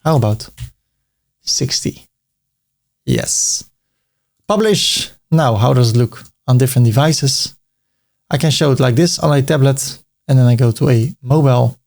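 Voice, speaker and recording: A man's voice: mid-range voice, measured pace, creaky, long pauses, a little mellow. A man with a Dutch accent, talking through a web design tutorial as he clicks, thinking aloud, repeating words and slipping in dry jokes. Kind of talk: screen-recorded software tutorial.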